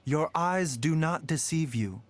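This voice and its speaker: Calm scholarly doctor, soft and measured but with lots of rise and fall in the voice. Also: male voice, fairly high pitch, heavy background noise. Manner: measured, gentle, explanatory. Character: calm, learned male physician. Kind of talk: video game voice acting.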